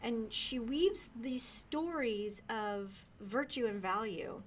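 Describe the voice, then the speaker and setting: A woman's voice: a little high, expressive, slow pace, a little hiss or hum. A woman co-hosting a casual book recommendation chat, talking in a chatty, enthusiastic, giggly way. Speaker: co-host of a book recommendation show.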